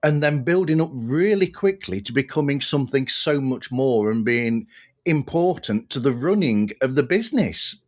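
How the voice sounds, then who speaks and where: A man's voice: medium pitch, animated, moderate pace. A man hosting a podcast, introducing and interviewing guests in a conversational way.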